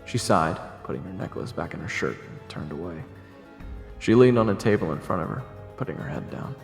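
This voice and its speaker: A man playing a brooding first-person narrator in a fantasy audio drama, telling the story in a grave, measured way. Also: low-pitched, very animated, vocal fry, exaggerated voice. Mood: hushed, dramatic. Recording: reverberant echo, music underneath.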